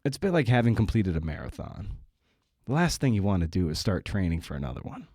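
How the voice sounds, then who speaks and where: Low-voiced male podcast host, dry close sound. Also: big pitch swings, fast, mellow, slightly rough, no echo. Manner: wry, self-deprecating, rambling asides. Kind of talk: casual podcast interview and wry monologue.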